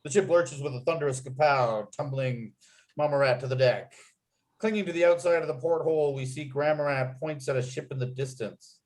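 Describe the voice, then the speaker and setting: A man with a slightly high voice reads screenplay action aloud at a table read. His delivery is vivid and punchy. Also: brisk, a little mellow, poor audio. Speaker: writer narrating a table read.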